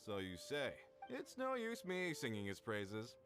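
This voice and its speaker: A man's voice: mid-range voice, huge pitch swings, unhurried. A man voicing a chatty, jovial middle-aged merchant in a video game, folksy in manner, with music behind him. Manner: folksy, chuckling, talkative.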